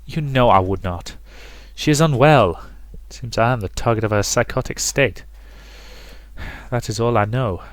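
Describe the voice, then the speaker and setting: A man voicing a guarded, worried marquis in a visual novel, speaking in a grave, measured way. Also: medium pitch, no echo, lightly acted. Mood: firm, pained.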